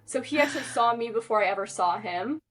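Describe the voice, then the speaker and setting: A woman's voice: a little high, expressive, fast talker, fairly bright tone, breathy edge, rough recording. A young woman guest on a podcast, telling a personal story in a rambling, chatty way, full of "like" and "um". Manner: chatty, rambling, lots of "like" and "um" fillers. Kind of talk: casual storytelling in an interview.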